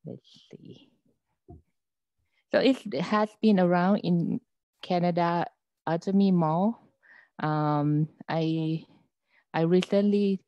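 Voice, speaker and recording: A woman in her thirties from Thailand, with a Thai accent, presenting products over a video call on a headset mic from home, speaking softly with many fillers. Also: sits low, no echo. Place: home room, indoors. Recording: dull lo-fi video call audio.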